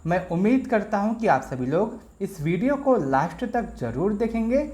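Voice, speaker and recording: A man's voice: sits high, very animated, normal speed, a little mellow. A man hosting an exam-prep explainer video, addressing viewers directly as friends and walking through worked examples step by step, often repeating himself.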